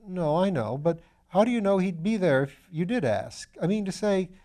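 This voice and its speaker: Storybook role: man acting a muddled, tipsy young gent, exclamatory, pitched high. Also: lively intonation, moderate pace, mellow. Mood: befuddled, excited. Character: flustered, slow-witted young English gentleman, mellow with drink.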